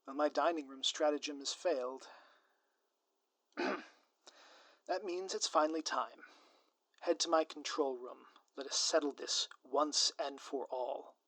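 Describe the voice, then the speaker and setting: A close, very dry recording of a man playing a theatrical male supervillain in an audio roleplay. His voice sits a little high for a man. He talks straight to the listener in florid, formal phrases, as a schemer who is secretly a doting, lovestruck spouse. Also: measured pace, long pauses, a bit bright, dry recording. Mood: commanding. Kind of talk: scripted ASMR roleplay, direct address to listener.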